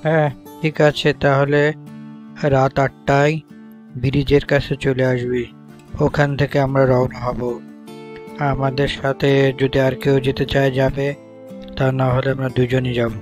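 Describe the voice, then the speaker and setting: A man's voice: mid-range voice, flat delivery, slow pace, long pauses, faint music behind, natural voice. A man voicing village friends out at night in a cartoon story, in plain, familiar, earnest dialogue. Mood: eager. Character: eager male village friends planning a night outing.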